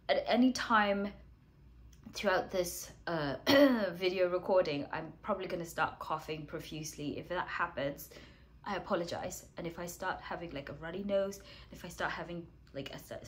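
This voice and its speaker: Congested woman teacher with a cold. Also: fairly low pitch. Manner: chatty, self-deprecating, clears throat and coughs. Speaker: English teacher hosting a writing challenge. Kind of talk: casual to-camera talk.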